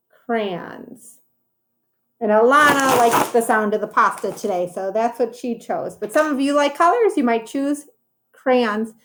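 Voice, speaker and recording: A woman teacher reading a story to young children, speaking in simple, instructive phrases with encouraging asides about the pictures. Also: a little high. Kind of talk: read-aloud lesson for young children, with commentary on pictures.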